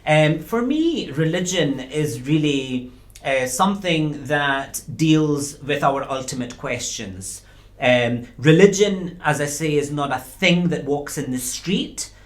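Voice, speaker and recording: A man, a university lecturer as interview guest, speaking in a thoughtful, measured way with pauses. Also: fairly high pitch, lively intonation. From Scotland. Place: small studio.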